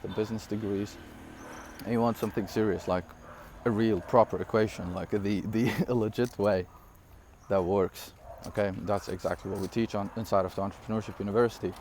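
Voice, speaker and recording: A young man from Syria with a low-pitched voice, for whom English is not his first language, delivers an energetic, rambling business pitch. He fills it with quick asides, fillers, and frequent laughs. A faint ambient noise sits behind him. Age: 20s. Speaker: online business coach. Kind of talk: casual direct-to-camera talk.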